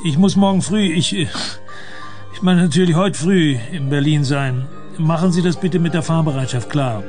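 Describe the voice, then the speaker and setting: Male minister, played by an actor: courteous, apologetic, halting, slightly high pitch, radio thriller. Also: a little mellow, faint music behind, natural voice. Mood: apologetic, hurried. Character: polite, flustered male government minister on phone. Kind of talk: radio drama acting.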